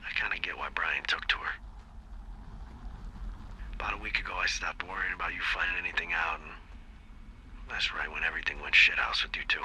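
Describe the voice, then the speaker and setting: A man's voice: low-pitched, steady tone, brisk, pauses often, bright, forceful tone, poor audio. An actor playing a bitter, defensive middle-aged recluse and father in a video game, rambling on tape in folksy, profane language. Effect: played back from a tape. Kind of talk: taped confession in a video game drama. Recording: taped voice with faint noise.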